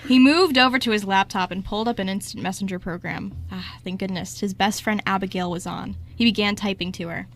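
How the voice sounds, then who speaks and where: A woman's voice: medium pitch, brisk, few pauses. A woman narrating a scripted story as host of an amateur radio show.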